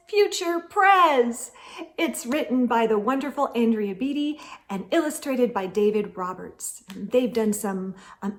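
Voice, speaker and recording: Lady doing kids storytime, really high voice, takes it slow, animated and talks right to the little ones. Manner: animated, emphatic, addressing young listeners directly. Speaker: children's storytime host reading picture books aloud. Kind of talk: expressive read-aloud storytelling for children.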